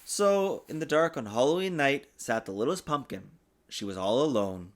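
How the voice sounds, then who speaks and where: A man reading a children's storybook aloud in an expressive, sing-song way, as a father does for his young child. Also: medium pitch, normal speed. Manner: patiently answers child's interruptions. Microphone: desktop USB microphone.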